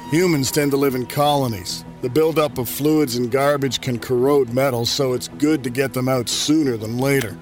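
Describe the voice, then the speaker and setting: A man playing a dry, superior robot pest-control technician in an audio fiction comedy, clinical and deadpan. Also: medium pitch, lightly acted.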